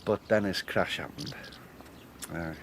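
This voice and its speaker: An actor playing a talkative, jovial ironmaster's son turned stockbroker with a Northern English accent in a radio drama. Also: male voice, low-pitched, a little slow, a bit bright, a little hiss or hum. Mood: subdued, concerned. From Northumberland, England.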